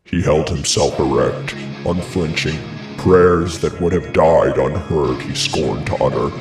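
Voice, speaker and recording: Man acting a grave tragic messenger, gravelly, declamatory, slow, roomy distant recording, music clearly behind. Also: rumbling low. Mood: horrified, solemn. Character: horrified royal messenger recounting a ghastly sacrificial murder. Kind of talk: classical tragedy monologue.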